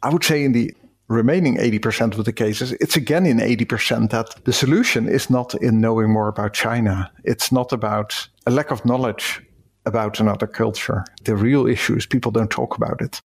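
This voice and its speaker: A man's voice: medium pitch, steady tone, fairly quick, slightly rough. A Dutch man with a Dutch accent, a cross-cultural consultant explaining ideas thoughtfully in a podcast interview. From Netherlands.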